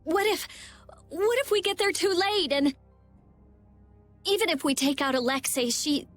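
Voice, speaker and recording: A woman voicing a blunt, anxious, sharp-minded young girl in a video game, with an intense delivery. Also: sits high, expressive, moderate pace, soft background music. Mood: worried, insistent. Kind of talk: voice acting in an English video game cutscene.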